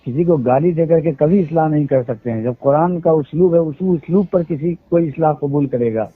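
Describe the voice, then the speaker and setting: Husky guy on a tinny phone line, slightly high voice, going on and on defending himself. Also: moderate pace, dry recording. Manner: defensive, explaining at length with religious quotes. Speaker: event organizer on a phone call. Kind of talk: heated phone conversation. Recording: tinny phone call recording.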